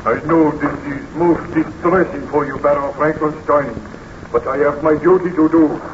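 A man's voice: on the high side, fairly even intonation, moderate pace, natural voice. A man playing a stern village burgomaster in a 1930s radio serial, blunt, officious and formal in manner. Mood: stern, suspicious. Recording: noisy, muffled vintage 1930s radio recording.

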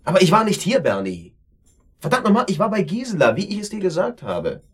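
An actor in a German radio drama playing an irritable, defensive, sarcastic man with a husky voice. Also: high voice, huge pitch swings, brisk, heavily acted. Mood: agitated, indignant. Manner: sarcastic, agitated, blustering. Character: irritable, defensive, sarcastic brother feeling set up.

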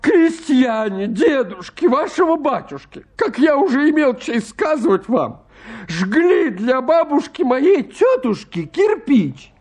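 A very high, husky male voice for a stubborn landowner suitor in a radio comedy. He argues with fussy, pleading politeness, his pitch leaping about excitably. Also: slow pace, heavily acted. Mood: pleading, flustered. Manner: fussily polite, pleading, argumentative. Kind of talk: Russian radio comedy acting.

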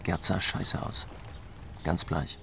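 A man's voice: slow pace, bright, forceful tone, faint music behind. An actor playing a blunt, wry man recounting events in a dry, interview-like radio drama narration. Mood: dry, exasperated. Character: blunt, wry male friend recounting funeral arrangements.

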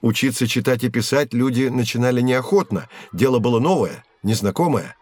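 An actor playing a learned professor who explains history in a measured, didactic way in an educational audio drama. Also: male voice, medium pitch, a little mellow, natural voice. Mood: patient, explanatory. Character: learned male professor guiding children through history.

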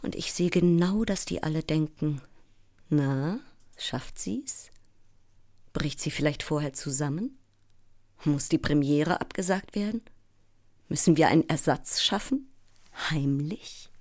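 An overwrought, sleepless actress in a theatrical audio drama monologue. The female voice is low and measured in pace, intense and broken into fragments, often dropping toward a breathy whisper. It is recorded close and dry. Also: a little mellow, no echo. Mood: paranoid, obsessive.

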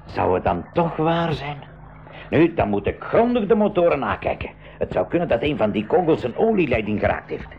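An exclaiming inventor uncle, in 1980s school radio drama manner. The voice sits fairly high and swings widely, over audible hiss. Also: male voice, big pitch swings, moderate pace. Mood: alarmed, indignant. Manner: theatrical, exclaiming. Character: excitable professor uncle, proud inventor of a flying machine. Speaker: radio play actor. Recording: dull, noisy old radio recording.

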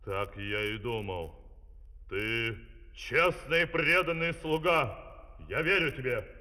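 An actor playing an imperious, excitable ruler in a comic fairy-tale opera, commanding and declamatory. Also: male voice, medium pitch, takes it slowly, full character voice. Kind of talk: theatrical Soviet fairy-tale audio opera acting. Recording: distant, long hall reverb, vinyl transfer.

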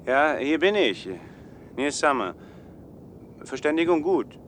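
A man's voice: on the high side, big pitch swings, slow pace, a bit bright, a little hiss or hum, heavily acted. An actor playing a naive, condescending city man in a German science-fiction radio play, with a lecturing manner. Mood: flustered. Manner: lecturing, self-assured.

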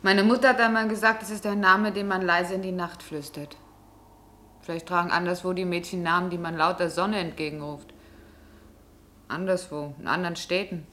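An actress in a radio play as a dreamy, melancholy young waitress, speaking in wistful, lingering, poetic phrases. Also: female voice, on the low side, fairly even intonation, moderate pace, fairly bright tone, lightly acted. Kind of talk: German radio play acting.

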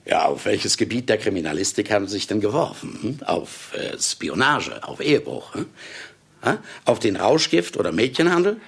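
Haughty star author, low creaky voice, imperious, irascible, theatrical, brusque, radio play. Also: male voice, very animated, moderate pace, heavily acted. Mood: condescending. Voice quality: gravelly. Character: imperious, self-important, irascible celebrated male writer. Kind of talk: German radio play acting.

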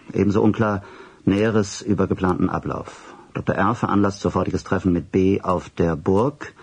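A flustered male boss in a radio play, with a low and very rough, breathy voice. He issues secretive orders in an officious, clipped way, stumbling and repeating himself as he dictates. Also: darkish tone, exaggerated voice. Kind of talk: radio drama acting, phone calls and dictation.

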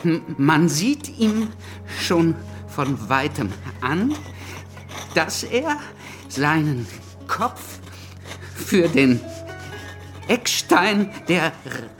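A man's voice: fairly high pitch, sing-song, very slow, pauses often, a bit bright, soft background music, exaggerated voice. An actor playing a nervous young male pamphleteer who stammers constantly, in a radio drama. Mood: musing.

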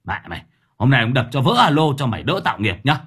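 A man's voice: mid-range voice, sing-song, fast, breathy edge, heavily acted. A man voicing a panicky, foul-mouthed village man who wails and curses. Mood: raging. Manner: wailing, cursing, yelling.

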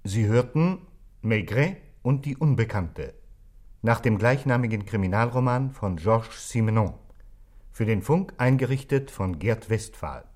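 A male radio announcer reads in a very slow, measured way. His delivery is formal and precise, setting each item apart with a pause. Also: fairly low pitch, expressive, a little mellow. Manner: measured, precise, pausing between items. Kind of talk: formal scripted credit announcement.

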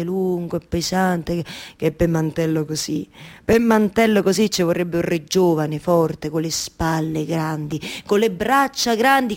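An actress playing a weary, talkative weaver wife in a theatrical monologue, speaking colloquially with a Roman accent and repeating phrases haltingly. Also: female voice, mid-range voice, darkish tone, natural voice. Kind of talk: theatrical monologue for radio theatre with live music.

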